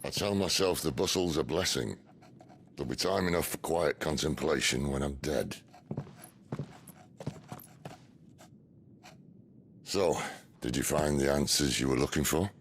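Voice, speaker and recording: Çok kalın, çatlak sesli asi lider. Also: male voice, a bass voice, normal speed, slight background noise. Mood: wry, warm. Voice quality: gravelly. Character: wry, world-weary middle-aged male rebel leader. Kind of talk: video game cutscene acting. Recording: music behind the voice.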